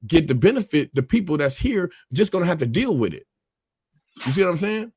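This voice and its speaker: A man in a casual discussion who gets animated and laughs between points, and also reads documents aloud. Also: on the high side, a little fast, husky, no room sound, clean studio sound. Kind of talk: casual conversation and reading aloud.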